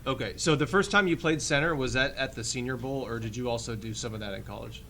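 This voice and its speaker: A man's voice: medium pitch, fast, vocal fry, a bit bright. Male reporter on a noisy call. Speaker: sports reporter. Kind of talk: press conference questions. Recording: slightly noisy conference call audio.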